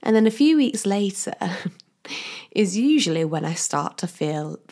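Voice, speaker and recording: A woman hosting her own podcast as a career coach, talking in a chatty, upbeat way straight to the listener and laughing often, her voice still slightly croaky from a cold. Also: medium pitch, big pitch swings, measured pace, a little mellow. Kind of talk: casual solo podcast monologue.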